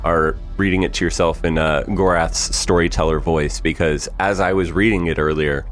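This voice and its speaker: A man's voice: low voice, steady tone, normal speed, soft background music. A man co-hosting a podcast, upbeat and laughing often as he chats and reads promos. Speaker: co-host. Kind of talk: casual podcast chat.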